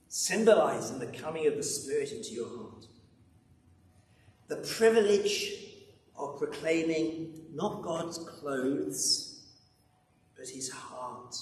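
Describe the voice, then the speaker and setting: An older man in his sixties or above preaching a sermon with emphatic delivery, dramatic pauses and rhetorical repetition. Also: fairly high pitch. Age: 60s or older. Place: large church interior at a lectern. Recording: echoey church, distant mic, background noise.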